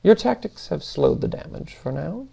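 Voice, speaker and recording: A man's voice: high voice, very animated, normal speed, gravelly, dark tone, slightly rough, pitched above the performer's own voice, heavily acted. A man voicing an exasperated, haughty sock-puppet machine intelligence in an audiobook, fussy and incredulous as it questions and grumbles. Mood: cross.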